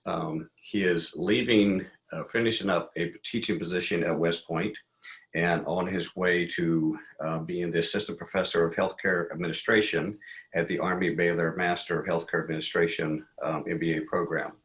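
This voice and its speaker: A male host with a very deep voice introduces the speaker over a video call. He talks haltingly and fills his pauses often. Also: steady tone, fairly bright tone, rough recording. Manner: halting, with many pauses and fillers.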